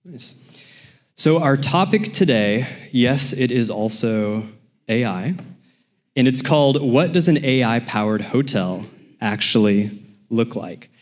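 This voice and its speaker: A man's voice: medium pitch, expressive, slow pace. A man hosting a live podcast on a conference stage, playful and upbeat as he talks to the audience. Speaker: podcast host.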